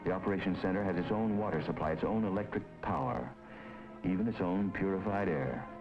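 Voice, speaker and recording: A man with a very low, deep voice reads documentary narration in a measured, solemn way. The sound is a muffled, lo-fi 1950s film track. A prominent orchestral score plays beneath him. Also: over music. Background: film score under the voice.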